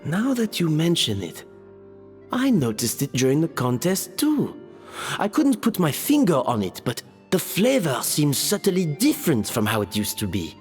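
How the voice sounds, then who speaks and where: A man playing an earnest, inquisitive young gourmet in a game, expressive and questioning. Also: fairly high pitch, normal speed. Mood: thoughtful. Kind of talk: English game voice acting. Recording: long reverb over background music.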